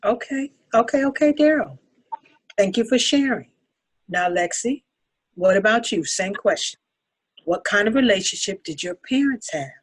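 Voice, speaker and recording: A woman playing a composed, patient couples counselor in a dramatic table read, talking in a measured, instructive way. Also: medium pitch, expressive, breathy edge. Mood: soothing, firm.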